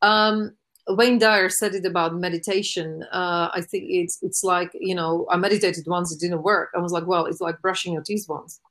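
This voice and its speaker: Earnest woman massage therapist, lowish voice. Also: steady tone, moderate pace, fairly bright tone. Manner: earnest, many fillers and restarts. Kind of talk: conversational interview answers.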